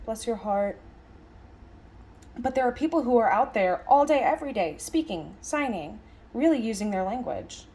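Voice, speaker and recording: Higher-pitched woman interpreting a lecture. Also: mellow. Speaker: sign language interpreter voicing a signed lecture. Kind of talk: interpreted lecture, spoken conversationally.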